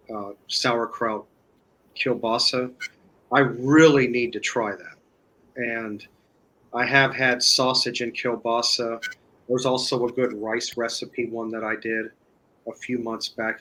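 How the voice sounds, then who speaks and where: A man speaking as a guest in a casual chat, explaining step by step in a halting way. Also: medium pitch, sharp tone, rough recording. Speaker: guest, online video creator.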